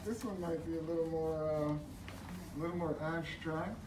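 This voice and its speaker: Halting man explaining his sculpture. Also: on the high side, steady tone, noisy background. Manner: halting, searching for words. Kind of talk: casual explanation of artwork. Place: gallery room, indoors. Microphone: far camera. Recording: echoey room, distant mic.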